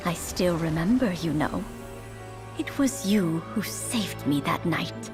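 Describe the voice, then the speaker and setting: A woman voicing a grateful old woman in a game cutscene, who speaks in a gentle, measured way. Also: on the low side, animated. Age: older. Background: game music.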